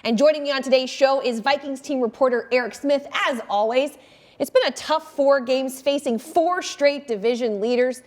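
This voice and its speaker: A woman's voice: high voice, normal speed, darkish tone. A woman hosting a sports talk show in an upbeat presenting style.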